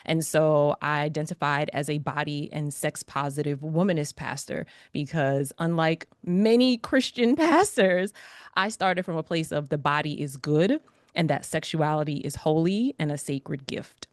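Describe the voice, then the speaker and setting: A woman from New York City, a pastor, author and podcaster, telling her story as an interview guest with a lively, preacherly manner. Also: low-pitched, moderate pace, no room sound, clean studio sound.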